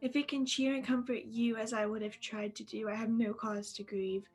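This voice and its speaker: A woman's voice: on the high side, level tone, moderate pace, darkish tone, husky. A young woman playing a sorrowful, dignified fiancée in a radio play. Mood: sad, reproachful.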